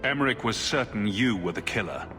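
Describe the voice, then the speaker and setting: An actor in a video game playing a cool-headed adventurer, direct, composed and to the point. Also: male voice, lowish voice, animated, a little fast, over music, lightly acted. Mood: calm, probing.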